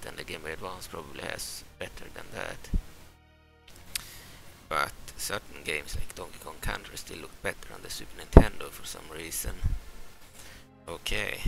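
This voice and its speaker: Deep gravelly gamer. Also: male voice, poor audio. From Sweden. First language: Swedish. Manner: rambling, hesitant with restarts, reads on-screen text aloud. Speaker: let's play gamer. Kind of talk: casual gameplay commentary. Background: game music.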